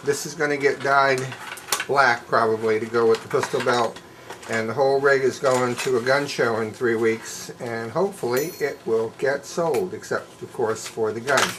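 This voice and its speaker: A middle-aged man, a bit hoarse, explaining his handmade leather work at a workbench in a plain, folksy, unhurried way. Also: medium pitch, noisy background. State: slightly hoarse, losing his voice. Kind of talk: casual show-and-tell demonstration. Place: indoors at a workbench.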